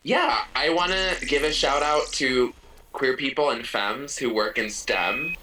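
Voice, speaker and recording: Man, campy comic banter, dramatic halting delivery, clear background noise, slightly off mic. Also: medium pitch, far from the mic. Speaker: podcast guest.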